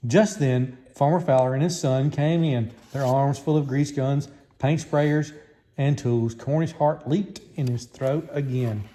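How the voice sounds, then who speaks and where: A man's voice: mid-range voice, unhurried, dark tone, slight background noise. A man reading a children's story aloud as a scripted narration. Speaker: storybook reader.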